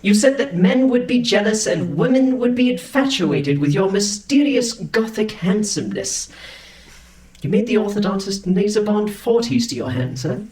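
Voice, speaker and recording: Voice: medium pitch, expressive, normal speed, roomy sound, a crowd in the background, natural voice, poor audio. A performer playing a prim, long-suffering android valet in a comic sci-fi audio drama, speaking with formal, fussy, deferential precision. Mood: patient reporting. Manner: formal, precise, servile, wordy.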